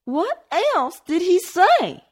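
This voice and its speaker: A woman voicing an anxious wealthy mother who forces composure, her voice strained and shrill. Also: big pitch swings, normal speed. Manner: shrill and strained, sharp when scolding.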